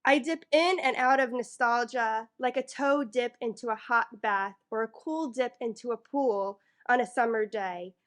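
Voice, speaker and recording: A woman from New York with a somewhat high voice reads her poems at a microphone before a library audience. Between pieces she sounds a little nervous and fills gaps with ums, then settles into a measured reading. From New York, United States. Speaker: featured poet. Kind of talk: reading her own poetry live. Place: public library event room. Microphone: microphone in front of a live audience.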